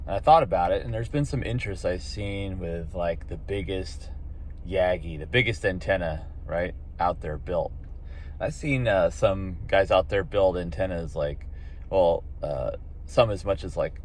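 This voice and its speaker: A man with a low-pitched American voice talks casually through an explanation. He thinks out loud as he goes, halting and doubling back on his phrases like a hobbyist vlogger. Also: slight background noise.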